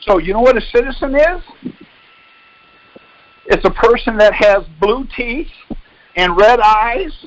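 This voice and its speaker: A man's voice: very high-pitched, expressive, measured pace, long pauses, no echo, poor audio. A man leading a call, explaining and debating in an insistent, corrective way with pointed questions. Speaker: call leader and instructor.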